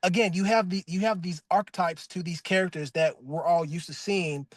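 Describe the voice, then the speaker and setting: A man's voice: fairly even intonation, moderate pace, dry recording, studio quality. A man who voices a cartoon character, talking as a guest in a casual interview. He gushes loosely, with plenty of "like" and restarts. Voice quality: calls his own voice really deep. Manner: loose, effusive talk full of "like" and restarts. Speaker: voice actor guest.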